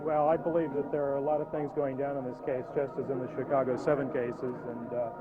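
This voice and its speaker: A man with an American accent, a defense attorney answering press questions in a halting, informal way. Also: medium pitch, little pitch movement, noisy background. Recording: tinny archival newsreel, crowd behind.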